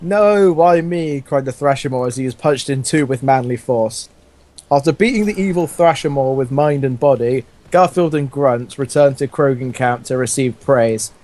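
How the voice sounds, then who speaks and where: A man's voice: mid-range voice, moderate pace, a little mellow. A young man casually reading fan fiction aloud and commenting on it in a mocking, amused way. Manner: mocking, often breaks up laughing. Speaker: gaming video host reading fan fiction.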